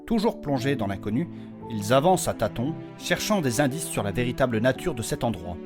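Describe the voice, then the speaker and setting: A male storyteller delivers dramatic fantasy narration. His medium-pitched voice moves widely up and down, with music clearly playing underneath. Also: very animated, a little fast. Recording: music with guitar behind the narration.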